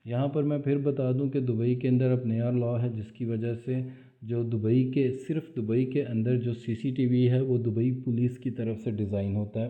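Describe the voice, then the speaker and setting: A man narrates a drafting tutorial, describing each step as he clicks through it. His delivery is even and flat, with little rise and fall. The audio is thin and tinny, like a phone line. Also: mid-range voice. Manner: explanatory, steps through actions aloud. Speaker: CAD drafting instructor. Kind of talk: screen-recorded software tutorial.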